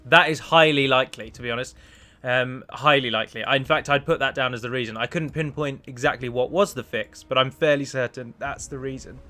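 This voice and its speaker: A man with a bright, forward voice chats through a gaming let's play in a casual, self-deprecating way. His pitch sits in the middle range. He is close on the microphone, with music playing behind him. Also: brisk. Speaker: gaming video host and mod developer.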